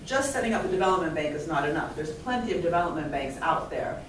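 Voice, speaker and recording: A middle-aged woman with a low voice and an American accent speaks at a public panel, animated and fluent, dropping "you know" between her points. She was born in Italy. The recording is distant and very echoey, with faint noise in the hall. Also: fast. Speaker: economics professor, panel speaker. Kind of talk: public talk at a panel event. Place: large echoey event hall.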